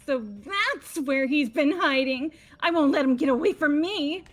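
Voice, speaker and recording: A woman's voice: sits high, lively intonation, a little slow, soft background music. A woman voicing a crazed, leering female killer in a video game, giddy and obsessive with gasping, swooning delivery. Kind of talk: amateur voiced video game playthrough.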